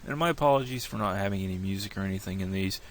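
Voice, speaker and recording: A man with a cold and a slightly nasal voice, walking through a software tutorial in a relaxed, rambling way, thinking aloud at his computer. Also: deep voice, very fast, a little hiss or hum. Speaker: tutorial creator.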